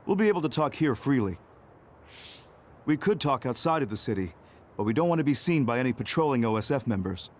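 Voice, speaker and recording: A man playing a composed, guarded senior officer in a video game, talking in a measured, explanatory way. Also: mid-range voice, big pitch swings. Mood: calm, guarded. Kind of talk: English video game voice acting.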